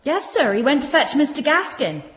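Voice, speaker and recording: A woman's voice: sits high, very animated, normal speed, a bit bright. An actress playing a nervous, deferential Victorian servant woman, earnest and meek, in a British radio drama. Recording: muffled, echoey room recording.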